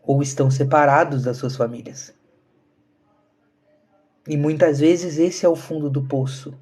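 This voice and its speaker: Husky man in his thirties. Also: on the high side, unhurried, darkish tone. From southern Brazil. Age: 30s. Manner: earnest, rhetorical questions, addresses viewers directly. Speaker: spiritual channel host. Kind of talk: casual live-stream talk to viewers.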